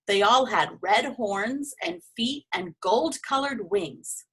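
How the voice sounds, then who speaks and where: A boastful explorer from a children's storybook, read by a woman, eager and emphatic as he reports his discovery. His delivery is animated, with a lightly husky tone. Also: a little high, slow, heavily acted. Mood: excited, proud. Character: excited boastful male explorer reporting a dragon find.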